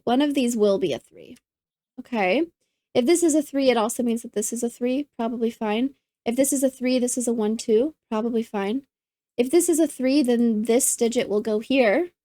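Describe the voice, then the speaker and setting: A slightly hoarse woman solves a puzzle out loud, talking herself through each step and perking up at every neat trick she finds. Her voice sits a bit high but sounds mellow and soft, and the recording is close and dry with no room sound. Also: normal speed, dry recording. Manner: thinks aloud, easily delighted. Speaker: puzzle channel host. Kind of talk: casual think-aloud puzzle solving.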